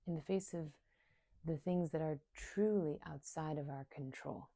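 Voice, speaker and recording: A woman leading a guided meditation in a soft, soothing, unhurried way, leaving long pauses between short phrases. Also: sits low, dry recording, studio quality. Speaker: meditation guide and somatic coach.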